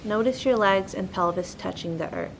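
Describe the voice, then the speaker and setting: A woman's voice: medium pitch, normal speed, slight background noise, low-quality recording. A woman leading a guided meditation in a soothing, instructional manner.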